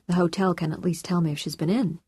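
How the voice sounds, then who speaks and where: A woman voicing a composed, courteous man in an audiobook, with a calm, measured and reassuring manner. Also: on the low side, breathy edge, no room sound. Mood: controlled, irritated.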